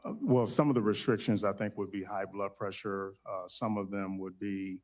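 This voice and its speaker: American guy testifying at a hearing, hesitant and polite, flat low voice. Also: moderate pace. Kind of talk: formal testimony.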